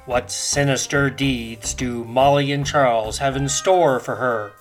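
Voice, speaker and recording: A woman's voice: a bass voice, unhurried, soft background music. A woman giving scripted, theatrical and suspenseful narration for a radio serial.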